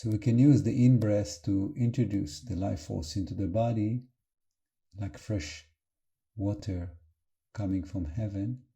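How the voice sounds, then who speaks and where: A man leading an unscripted guided meditation as a teacher, calm and gentle, with halting phrases and long pauses. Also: fairly low pitch, creaky.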